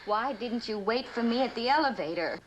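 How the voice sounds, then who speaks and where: A woman's voice: fairly high pitch, animated, fairly quick, lightly acted. An actress playing a worried, earnest woman who pleads urgently in a mid-century television suspense drama. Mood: hurt, pleading. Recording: noisy old television drama soundtrack.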